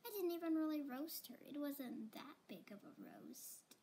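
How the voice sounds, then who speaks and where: A woman's voice: sits high, expressive, normal speed, dark tone, a little hiss or hum. A young girl improvising a doll roleplay at home, whiny and dramatic, often dropping to a whisper. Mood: self-pitying. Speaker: doll video creator voicing the lead character. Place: carpeted bedroom floor.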